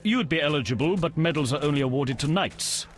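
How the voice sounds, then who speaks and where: An actor playing an earnest, idealistic young knight in a video game, formal and eager. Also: male voice, on the high side, lively intonation, fairly quick, a little hiss or hum, natural voice. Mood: proud, eager. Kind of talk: video game dialogue acting.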